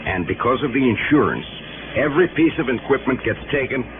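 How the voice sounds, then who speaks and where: A man's voice: medium pitch, animated, fairly quick, narrow, tinny audio, natural voice. An actor playing an easygoing, evasive insurance man in an old radio drama, explaining in a folksy, hedging way. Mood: explanatory. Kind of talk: 1950s radio drama acting. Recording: noisy, band-limited old radio recording.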